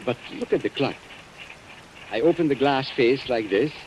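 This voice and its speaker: An actor playing a suave, cynical antique dealer and blackmailer, formal and mockingly courteous, in old time radio suspense style. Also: male voice, medium pitch, expressive, normal speed, a little mellow, lo-fi sound, lightly acted. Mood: suave, amused. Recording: noisy vintage radio recording.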